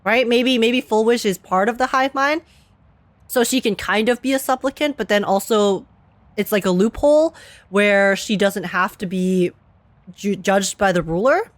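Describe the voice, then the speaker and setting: A young woman streaming a game, reacting excitedly and rambling through theories out loud. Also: medium pitch, normal speed, no echo. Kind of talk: casual reaction commentary. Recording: faint game music behind. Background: game music.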